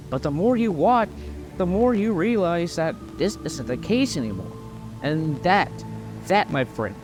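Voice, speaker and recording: A man's voice: a little high, a little mellow, low-quality recording. A man narrating a scripted video essay, explaining his points earnestly and emphatically with an occasional stumble.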